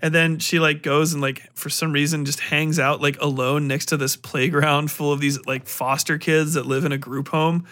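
A man with a fairly high voice talks like a podcast host in the middle of a casual rant. He is sarcastic and swears freely, retelling things in an animated way. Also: moderate pace, few pauses. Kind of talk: casual ranting review.

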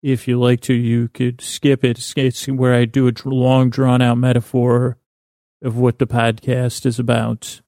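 A man with a gravelly, creaky voice, recorded close and dry. He hosts a sleep podcast in a meandering, halting monologue. His delivery is lulling and self-deprecating, with a narrow pitch range. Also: completely flat delivery, mellow, no echo. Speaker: podcast host. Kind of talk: rambling bedtime-story podcast monologue.

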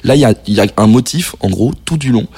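Fast-talking French man, slangy and keen. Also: medium pitch, animated, mellow, slightly rough, no echo. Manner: enthusiastic, slangy, rambling. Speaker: radio guest. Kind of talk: casual radio chat. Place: radio studio.

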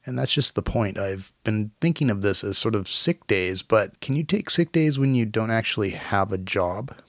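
A man in his forties talks through a cold, his low voice gravelly, nasal and hoarse. He rambles in a self-deprecating way on his solo podcast. The recording is dry and close. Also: lively intonation, moderate pace, dry recording. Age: 40s. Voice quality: raspy, nasal. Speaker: podcast host. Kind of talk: casual solo podcast monologue. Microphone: home recording mic.

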